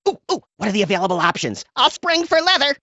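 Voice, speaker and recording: Small, trigger-happy game rabbit: impish, theatrical, hugely swooping delivery, slightly dull audio. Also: male voice, extremely high pitch, quick pace, no room sound, exaggerated voice. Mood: excited. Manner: impish, theatrical, mock-innocent, swooping between glee and deadpan. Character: hyperactive, gleefully violent little cartoon rabbit vigilante. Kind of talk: cartoon video game voice acting.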